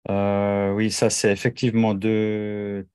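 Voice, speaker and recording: A host whose first language is German speaks in a fairly low voice with a dry, close sound. He chats easily with his guest, adds short acknowledgements and steers the conversation. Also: monotone, slow pace, darkish tone, clean tone, no echo. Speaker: podcast host. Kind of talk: conversational interview.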